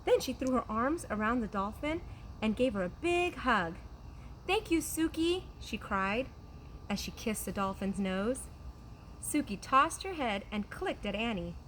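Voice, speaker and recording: A woman reading a children's story aloud outdoors in an animated teacher's way. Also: medium pitch, a little slow, low-quality recording. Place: outdoors on a trampoline. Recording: background noise, outdoor ambience.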